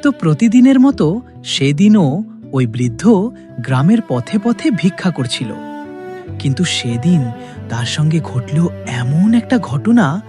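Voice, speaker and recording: Woman as a slow, solemn wise sage. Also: sits low, sing-song, over music, heavily acted. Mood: storytelling. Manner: solemn, measured, preaching. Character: wise, serene holy sage teaching villagers. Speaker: storyteller and teacher. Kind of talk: scripted cartoon moral storytelling.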